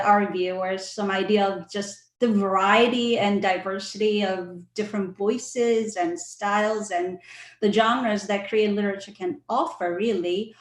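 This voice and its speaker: Female moderator, mid-pitched, easygoing hedging delivery, echoey room sound. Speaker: host, moderator. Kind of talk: moderating an online talk.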